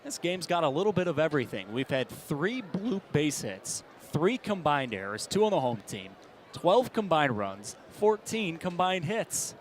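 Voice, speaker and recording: Higher-voiced American man calling baseball play-by-play. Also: big pitch swings, a little slow. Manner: clipped present-tense narration, swelling into shouts on big plays. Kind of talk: live sports commentary. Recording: ballpark ambience behind the voice. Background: outdoor stadium background noise.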